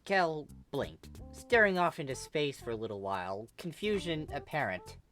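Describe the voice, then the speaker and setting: A woman narrating fan fiction in an animated storytelling style. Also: low-pitched, normal speed, faint music behind.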